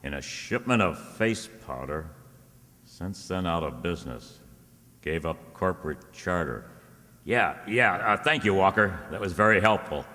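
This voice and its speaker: An actor playing a stubborn, suspicious, wisecracking private detective in a radio drama, with a clipped, sardonic manner. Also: male voice, sits low, lively intonation, a little slow, sharp tone, heavily acted. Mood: brisk, businesslike. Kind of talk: old-time radio detective drama acting. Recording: echoey hall, long reverb.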